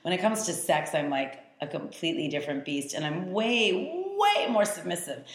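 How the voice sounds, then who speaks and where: A woman's voice: on the low side, very animated, moderate pace. A woman hosting a podcast, interviewing her guest frankly and telling stories with animation. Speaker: podcast host. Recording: roomy echo.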